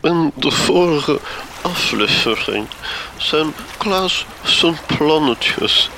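A man's voice: a little high, animated, drawn-out words, creaky, pushed and bright, exaggerated voice, rough recording. A man playing the wry narrator of a comic radio serial, with a Flemish accent and tongue-in-cheek scripted delivery.

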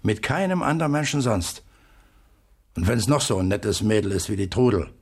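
A husky, low-voiced stern father. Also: animated, a little mellow. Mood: firm, defiant. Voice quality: husky, gravelly. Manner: terse, authoritative, deliberate. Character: stern, stubborn father and master of the household. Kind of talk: radio drama acting.

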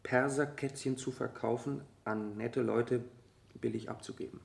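A man in a German radio drama playing an evasive, scornful witness in court, bitter and halting. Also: mid-range voice, steady tone, creaky, echoey room. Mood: cornered, bitter.

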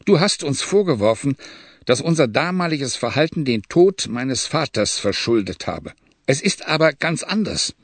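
A man voicing a proud, furious young Bedouin sheikh who shouts commands and accusations in an audiobook reading. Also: mid-range voice, big pitch swings, moderate pace, no echo.

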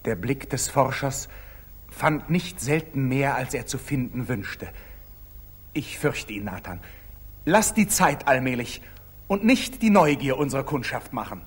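An actor playing a proud, impetuous young knight in a 1940s German radio drama, with fervent, declamatory stage diction. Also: male voice, medium pitch, very animated, measured pace, slightly rough. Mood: guarded.

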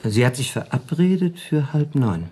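Wry, animated man, mellow and husky. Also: medium pitch, moderate pace. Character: wry, shrewd male dinner companion and armchair plotter. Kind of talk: German radio play acting.

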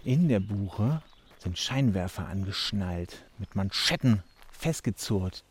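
A man's voice: fairly low pitch, animated, slow pace, husky. A man speaking observations in a spoken-word style on a forest walk. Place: outdoors on a forest trail.

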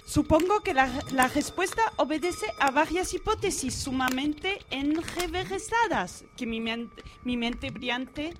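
A woman's voice: high voice, lively intonation, unhurried, hardly any pauses, soft background music. A woman playing a pompous, wordy female professor who admires her own mind, in a radio theatre parody. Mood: self-important.

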